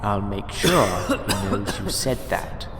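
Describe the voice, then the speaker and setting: Actor as sinister doctor in an echoing chamber. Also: male voice, fairly low pitch, lively intonation, slow pace, loud music behind the voice. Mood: cold, threatening. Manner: polite, condescending, quietly menacing. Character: sinister calm male doctor experimenting on captives for the emperor. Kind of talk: audio drama acting. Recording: echoey reverberant room with clear noise.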